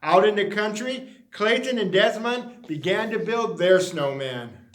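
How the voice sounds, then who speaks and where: A man reading a children's picture book aloud in an animated storytelling style with emphatic, deliberate phrasing. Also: high voice. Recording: roomy, echoey sound.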